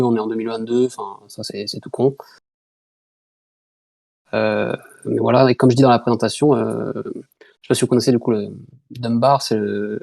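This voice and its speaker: A man's voice: medium pitch, gravelly, dark tone, no echo. A man, founder of a small online project, talking in casual voice chat with lots of fillers and restarts. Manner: hesitant, many fillers and restarts. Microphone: computer mic on a voice chat.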